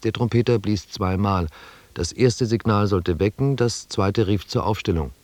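An actor as the sober narrator of an expedition chronicle in a German radio drama, reading in a measured, descriptive way. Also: male voice, fairly low pitch, a little mellow, no echo, lightly acted. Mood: sober, calm.

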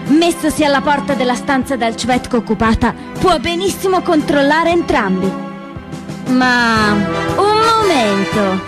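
A woman performing scripted theatrical storytelling, with dramatic, emphatic and deliberate phrasing that moves between hushed and declamatory. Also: high-pitched. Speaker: solo theatre performer and narrator. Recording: roomy stage sound, faint music behind.

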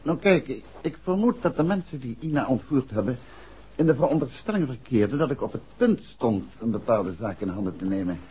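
A man acting a calm, caring private detective in a 1960s radio drama, asking measured questions and reassuring. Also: medium pitch, slightly rough. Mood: businesslike. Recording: muffled old 1960s radio recording.

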